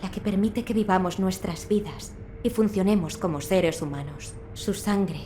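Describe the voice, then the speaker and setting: A woman portrays a dutiful physician from a video game, with a soft, mellow tone. She speaks in a measured, formal manner with a Castilian Spanish accent. Faint ambient noise runs underneath. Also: medium pitch, close to an everyday voice. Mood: focused, clinical. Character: composed, dutiful female physician in feudal Japan.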